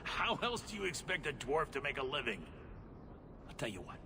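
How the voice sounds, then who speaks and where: A man's voice: mid-range voice, big pitch swings, moderate pace, gravelly, noisy background. An actor playing a sly, chummy male dwarf who trades in information, in a video game. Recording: game audio with music underneath.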